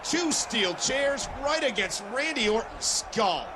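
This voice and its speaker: An actor voicing a male wrestling commentator with measured broadcast delivery in a video game. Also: extremely high pitch, expressive, over a noisy crowd, very noisy, heavily acted. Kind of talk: scripted sports commentary in a game.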